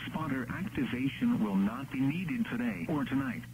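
A man's voice: medium pitch, darkish tone. Synthetic male weather radio voice. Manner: measured, impersonal text-to-speech delivery. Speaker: automated weather radio announcer. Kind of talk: synthetic scripted weather forecast reading. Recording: noisy radio broadcast with hum.

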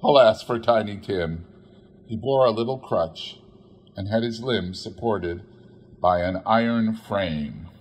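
A man reads literary fiction aloud as a storyteller. His voice sits fairly low with a husky, rough edge, and his narration is expressive. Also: takes it slowly. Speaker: audiobook narrator.

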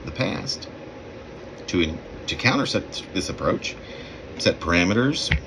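Male poet, 50s, low register with swooping intonation, deliberate halting delivery of own verse. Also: background noise. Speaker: poet reading own work. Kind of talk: poetry reading. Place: small room indoors.

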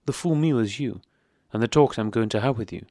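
A man's voice: mid-range voice, a little fast, mellow, no echo, clean studio sound, close to an everyday voice. A man voicing an effusive, confiding aristocratic woman in an audiobook reading. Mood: eager. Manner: effusive, chatty.

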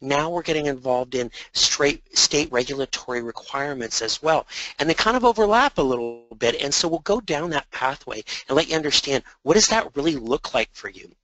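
A man presenting a compliance webinar in a conversational way, slightly hoarse from a sore throat, with many fillers and repeated words. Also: on the high side, expressive, fairly quick, fairly bright tone, no room sound, rough recording.

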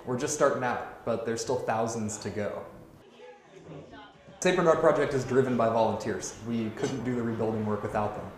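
A man speaking as a charity organizer in a promotional interview style. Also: mid-range voice. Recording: very echoey room with chatter behind.

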